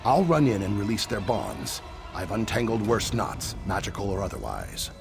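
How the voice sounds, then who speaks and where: Sneaky reptilian treasure hunter for a fantasy game: nervous, wheedling, creaky low voice, animated pitch. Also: male voice, moderate pace. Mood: furtive, wary. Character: shifty, self-preserving male lizard-folk relic hunter with a tail. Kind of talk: fantasy video game voice acting. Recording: music behind the voice. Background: game music.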